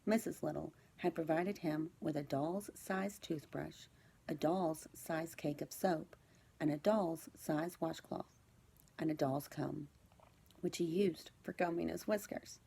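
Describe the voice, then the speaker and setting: Female storybook reader, teacher type: lower-pitched, mellow tone with some gravelly creak, expressive delivery. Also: measured pace. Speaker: second-grade teacher reading aloud. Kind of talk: children's storybook narration.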